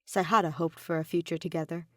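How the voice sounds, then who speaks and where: A woman's voice: on the low side, steady tone, quick pace, fairly bright tone, dry recording, clean studio sound. A woman narrating a piece of fan fiction as a scripted audio reading. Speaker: podfic narrator.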